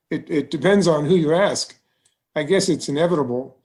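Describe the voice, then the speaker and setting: Man acting a genial bank president, higher-set voice. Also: normal speed, a little mellow, close to an everyday voice. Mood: thoughtful, cautious. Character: genial, seasoned small-town bank president. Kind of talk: musical theatre table read.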